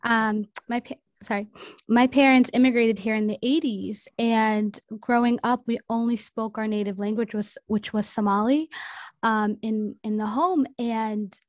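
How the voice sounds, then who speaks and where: A woman sharing a personal family story on a video call, halting and apologetic, with many fillers. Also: a little high, steady tone, no room sound. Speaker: panelist.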